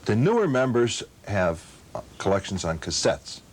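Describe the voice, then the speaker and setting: Deep-voiced man in casual interview. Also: big pitch swings, normal speed, husky. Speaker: old-time radio enthusiast interviewee. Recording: faint background noise.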